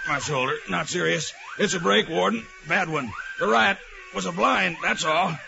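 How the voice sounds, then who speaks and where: A man's voice: sits high, moderate pace, gravelly, crowd noise. A man playing a hurt prison guard reporting urgently with a strained voice, in 1940s radio drama style. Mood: pained urgency. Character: wounded, breathless male prison guard reporting a breakout. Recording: noisy, dull vintage 1940s radio recording.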